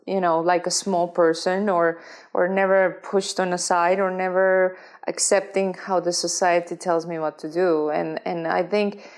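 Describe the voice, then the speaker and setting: Earnest Estonian woman guest, halting English. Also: fairly low pitch, little pitch movement. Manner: earnest, non-native phrasing with frequent restarts. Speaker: guest, professional swimmer. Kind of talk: conversational interview.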